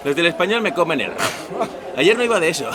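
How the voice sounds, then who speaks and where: A menacing skinhead ringleader in an audio drama, a man with a high voice and an accent from Spain. He talks with coarse, cocky swagger. A loud crowd fills the bar around him. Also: sing-song, fast talker. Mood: boastful. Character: cocky, menacing neo-Nazi skinhead gang leader. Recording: noisy crowded bar scene.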